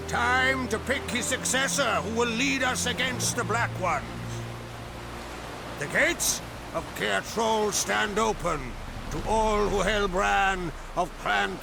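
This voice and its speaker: An actor playing a gruff older island war chief addressing his clans in a video game cutscene, slow and commanding. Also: high voice, full character voice. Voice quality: gravelly, commanding. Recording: score underneath.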